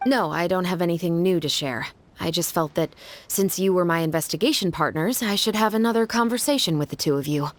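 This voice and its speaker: Woman voicing a composed, low-pitched young officer. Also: brisk, few pauses, lightly acted. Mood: reflective, polite. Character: composed, serious, thoughtful young female patrol officer. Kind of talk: anime game voice acting.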